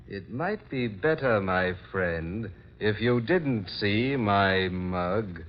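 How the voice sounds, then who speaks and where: An actor playing a mysterious, icily polite gentleman in 1940s radio horror, measured, formal and quietly menacing. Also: lowish voice, expressive, a little hiss or hum. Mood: coolly evasive. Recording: muffled 1940s radio recording.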